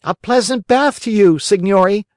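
A man voicing an excitable young wooden puppet boy in a children's storybook, beseeching and exclaiming. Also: high voice, moderate pace, no room sound, clean studio sound, pitched above the performer's own voice, natural voice. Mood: bold, mocking.

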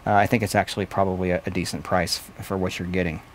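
A man's voice: sits low, little pitch movement, fast talker. A man in his forties giving an explanatory product review at a desk in a small studio office. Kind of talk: explanatory gear review to camera.

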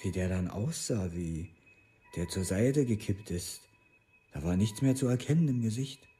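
Very mellow, subdued young man. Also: lowish voice, expressive, measured pace, a little hiss or hum. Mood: subdued, matter-of-fact. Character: young man flatly recounting his brother's violence. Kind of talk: documentary radio play monologue.

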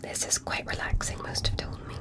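A woman voicing a gentle alien medical examiner in an ASMR roleplay, soothing, reassuring and politely clinical. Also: a little fast, lightly acted. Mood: soothing, calm.

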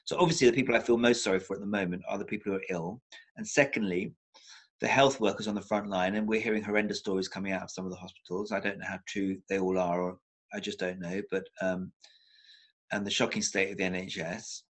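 A man's voice: low-pitched, steady tone, fast. An older man speaking as a therapist guest on a video call from a room at home, talking thoughtfully with frequent restarts and repeated words for emphasis. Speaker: psychotherapist guest. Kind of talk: reflective video-call interview. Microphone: laptop webcam on a video call.

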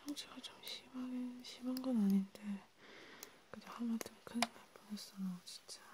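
A woman's voice: fairly high pitch, fairly even intonation, brisk, noisy background, natural voice. Woman playing a whispering cautious vampire healer. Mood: hushed concern. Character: cautious female vampire healer tending a hunted friend. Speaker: ASMR role-player. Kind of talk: whispered ASMR role play.

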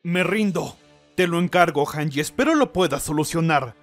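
A man's voice: fairly high pitch, lively intonation, normal speed, soft background music, natural voice. Man acting a stern, cocky anime captain. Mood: stern, lecturing. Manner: stern, sarcastic. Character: cocky, gruff, short-tempered military captain, adult man. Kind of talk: comedic fandub parody acting.

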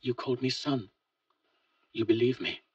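Brief: male reader as a shaken grown son, husky, quiet, heard through a distant lo-fi mic. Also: mid-range voice, normal speed, no room sound, voice pushed up, close to an everyday voice. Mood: tender.

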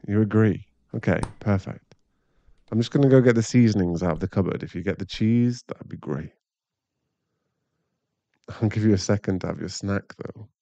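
A man plays a caring young British boyfriend in an intimate audio roleplay. His voice is mid-pitched and mellow, chatty and easily flustered. The sound is very dry and slightly muffled. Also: creaky, no room sound. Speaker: voice actor in an ASMR boyfriend roleplay.

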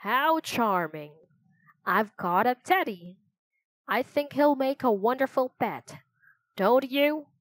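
A sinister king who is half raven, half man, acted out by a woman reading a storybook. The voice is raspy and takes its time, with a mocking, falsely polite manner. The sound is close and dry. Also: fairly high pitch, lively intonation, no room sound, pitched above the performer's own voice, low-quality recording. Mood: mocking, sly. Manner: slow, mock-polite, taunting.

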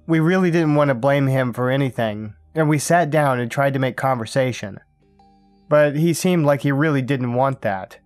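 A man reading a first-person scary camping story aloud in a calm, even storytelling manner. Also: mid-range voice, moderate pace, no room sound, faint music behind. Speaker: narrator. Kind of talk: scripted horror story narration.

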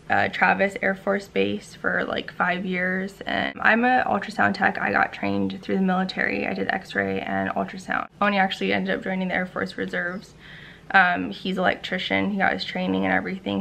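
Very creaky young American woman, casual vlogger. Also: medium pitch, fairly bright tone. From Hawaii, United States. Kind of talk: casual chat to camera. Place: room in a house, indoors.

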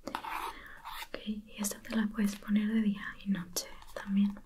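A woman speaking in a breathy whisper, gently soothing the listener as a spa beautician in an ASMR roleplay. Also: medium pitch, monotone, takes it slowly. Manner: gentle, soothing, intimate, reassuring. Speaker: ASMR video creator playing a spa beautician.

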